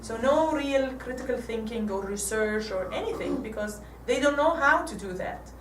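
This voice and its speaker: An Egyptian woman in her forties gives a church talk with slides, halting and restarting often. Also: fairly high pitch, expressive, slight background noise. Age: 40s. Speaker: guest speaker. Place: church hall. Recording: echoey hall from far off.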